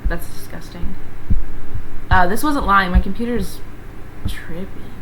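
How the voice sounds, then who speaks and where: Raspy hoarse lady rambling on her stream, kinda gravelly, noisy background hiss. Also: fairly low pitch, a little slow. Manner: rambling, chatty, sniffs between sentences. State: hoarse, voice partly gone. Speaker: live streamer. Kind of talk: casual chat answering would-you-rather questions. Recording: steady background noise.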